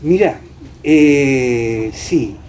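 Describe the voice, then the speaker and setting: An older Argentine man recalls the past as an interview guest, in an Entre Ríos accent. He talks haltingly, with a warm, dark tone at a middling pitch. There is noise behind his voice. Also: expressive, low-quality recording. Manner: hesitant, pausing often. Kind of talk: reminiscing in conversation. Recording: clear background noise.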